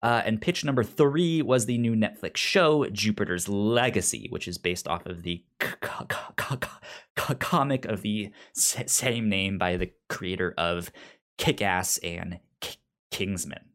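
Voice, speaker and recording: A man's voice: lowish voice, unhurried, a bit bright. A man co-hosting a podcast in casual chat, stuttering heavily on first sounds of words. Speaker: podcast co-host.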